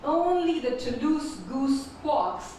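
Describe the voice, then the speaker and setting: A woman whose first language is Urdu, reading her poems slowly and repeating each first line at a live poetry event. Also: sits high, a little mellow, slight background noise. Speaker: featured poet. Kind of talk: poetry reading with spoken introductions. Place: performing arts venue. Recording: echoey hall from far off.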